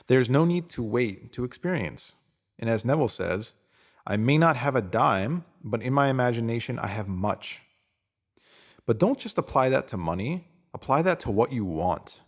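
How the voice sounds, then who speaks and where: A man narrating a motivational spiritual essay aloud in an earnest, instructive manner. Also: mid-range voice.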